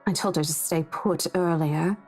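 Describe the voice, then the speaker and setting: An actress playing a courteous, busy real estate agent in a visual novel, composed and accommodating in manner. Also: female voice, on the low side, steady tone, normal speed, darkish tone, husky, faint music behind.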